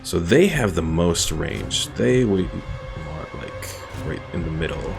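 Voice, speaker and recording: A man's voice: deep voice, animated, takes it slowly, gravelly. A man giving casual gameplay commentary as a streamer, thinking aloud as he plays. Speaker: streamer playing a strategy game. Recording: game music behind voice.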